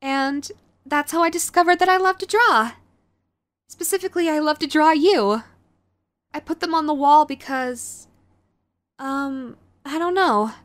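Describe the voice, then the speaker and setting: A woman playing a sweet, clingy yandere girlfriend in an audio roleplay, nervous and halting, gushing and apologetic as she tries to reform. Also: high-pitched, fairly bright tone, no room sound. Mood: proud, affectionate. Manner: halting, gushing, apologetic, swinging between eager and sheepish. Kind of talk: romantic audio roleplay, acted to the listener.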